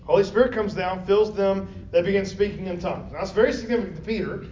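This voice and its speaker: Man teaching a Bible class, higher voice. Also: quick pace, a little mellow. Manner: conversational, asks the class questions often. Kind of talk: classroom Bible lesson with questions to the group. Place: classroom or church meeting room. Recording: roomy, distant, dull lo-fi with faint noise.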